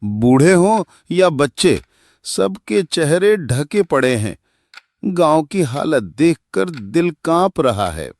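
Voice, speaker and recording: A man's voice: on the high side, sing-song, slow, darkish tone, dry recording, exaggerated voice. A man voicing an earnest, anxious village man in a cartoon story. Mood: troubled. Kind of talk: Hindi cartoon story dialogue.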